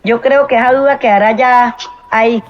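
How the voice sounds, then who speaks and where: A woman's voice: fairly high pitch, fairly even intonation, normal speed, dull audio, slight background noise. A woman in her forties from Venezuela, speaking as an interview guest in a hesitant, thoughtful way with many fillers. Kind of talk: personal interview.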